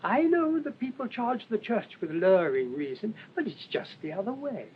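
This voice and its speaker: A man playing a mild, earnest little priest in a radio drama, reasoning gently as if preaching. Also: high voice, sing-song, moderate pace. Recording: muffled recording with faint noise.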